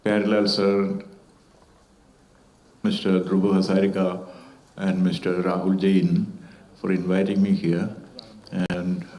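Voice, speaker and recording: A man's voice: low voice, little pitch movement, dark tone. Man giving a formal speech. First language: Malayalam. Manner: measured and formal, with occasional hesitations. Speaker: festival panelist. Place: auditorium at a literature festival. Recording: echoey auditorium sound.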